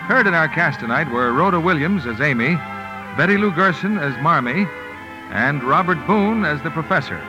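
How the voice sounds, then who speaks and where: A man announcing on a 1950s radio network, reading a script in a crisp, formal, projected way. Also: a little high, expressive, normal speed, muffled recording. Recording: vintage 1950 radio broadcast over music.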